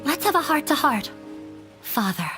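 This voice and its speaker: A woman's voice: sits high, big pitch swings. An actress playing a shy, nervous young woman in a video game, an awkward daughter speaking hesitantly. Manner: hesitant, halting. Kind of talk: video game character dialogue. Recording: music behind the voice.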